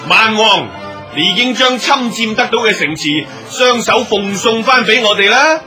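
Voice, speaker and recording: Cocky vanguard officer, Hong Kong Cantonese accent, high pitch, intensely bright forceful tone, mocking, declamatory radio drama. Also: male voice, expressive, normal speed, faint music behind, exaggerated voice. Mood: scornful. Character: cocky, taunting male vanguard officer in battle.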